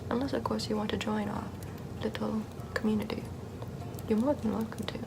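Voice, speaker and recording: A woman playing a sardonic witch healer in an ASMR roleplay, soft-spoken and often whispering in a conversational way. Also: mid-range voice, fairly even intonation, a little fast, lightly acted. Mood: soothing. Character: sardonic Puritan-era witch, chatty, scornful yet soothing healer. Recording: clear background ambient noise.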